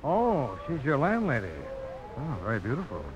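Lively young frontiersman, vintage radio. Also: a little high, moderate pace, lo-fi sound. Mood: intrigued. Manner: easygoing, inquisitive, folksy. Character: confident young frontier man, eager, curious and friendly. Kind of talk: 1950s radio drama acting. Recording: slightly noisy old radio broadcast.

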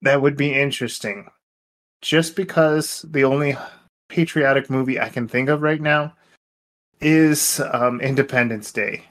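A man's voice: mid-range voice, steady tone, a little slow, fairly bright tone. Wry man in casual podcast chat. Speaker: podcast co-host.

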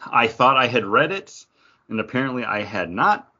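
A man's voice: medium pitch, expressive, normal speed, pushed and bright, muffled recording. A man co-hosting a podcast, chatting casually in a comic book review. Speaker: podcast co-host.

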